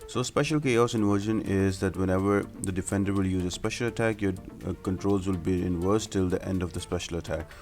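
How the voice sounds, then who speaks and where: A man's voice: low voice, a little fast, hardly any pauses, a little mellow. A man narrating a video game walkthrough in a casual, step-by-step explanatory way, like a gaming YouTuber talking to viewers. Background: music under the voice.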